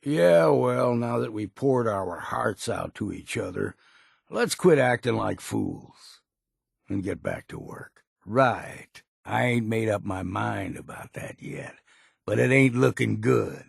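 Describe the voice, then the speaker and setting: An actor playing a gruff, proud, older male island hunter in a video game, with a gravelly, husky voice and folksy, rustic speech. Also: on the low side, expressive, slow pace, no echo, studio quality, heavily acted. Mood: grudging. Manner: folksy rustic speech with dropped grammar and ain't. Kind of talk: video game dialogue acting.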